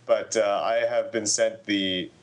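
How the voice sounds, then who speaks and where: Middle-aged male podcast panelist, lowish voice, informal and halting, prone to repeating himself mid-explanation. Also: steady tone. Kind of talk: casual podcast chat.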